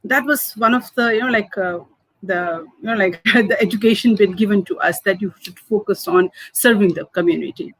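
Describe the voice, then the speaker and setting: A woman's voice: medium pitch, slightly rough, low-quality recording. Manipuri woman in her fifties recalling. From Manipur, India. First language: Hindi and Manipuri. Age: 50s. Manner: halting, many fillers, soft laughs. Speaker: food entrepreneur. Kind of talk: interview answers, personal story.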